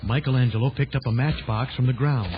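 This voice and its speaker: A man's voice: mid-range voice, brisk, noisy background. A man narrating a children's adventure audio story in a dramatic scripted style. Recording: dull lo-fi 1980s tape, music behind.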